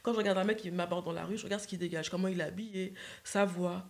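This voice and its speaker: A woman's voice: lowish voice, fairly even intonation, quick pace, gravelly, a little mellow. A young woman, a guest on a livestream, talking earnestly and insisting she is sincere, into a desk microphone indoors. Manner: earnest, insistent, repeats herself. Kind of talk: casual chat on a livestream. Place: indoor living room set.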